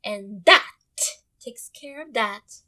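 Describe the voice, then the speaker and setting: A fierce old mother squirrel from a children's storybook, acted out by a woman. She scolds in a bright, forceful voice, emphatic and protective of her babies. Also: mid-range voice, lively intonation, slow. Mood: smug.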